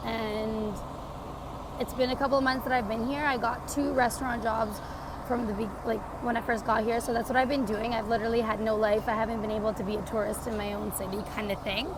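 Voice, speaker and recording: A woman's voice: on the high side, fairly even intonation, a little fast, background noise. A woman in her twenties from Canada chatting in a casual life-update vlog, rambling with lots of fillers, recorded on a phone. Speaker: vlogger. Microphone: phone camera.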